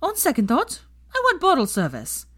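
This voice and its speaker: A woman's voice: high voice, huge pitch swings, moderate pace, a bit bright, no room sound, clean studio sound, voice pushed up, full character voice. A woman voicing a bossy, dramatic young witch socialite in an audiobook, exclaiming and demanding.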